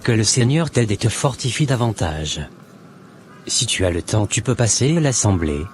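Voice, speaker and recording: Low-voiced devout man, acted by a man, measured. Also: sing-song, a little hiss or hum. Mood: calm, admonishing. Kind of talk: scripted cartoon dialogue.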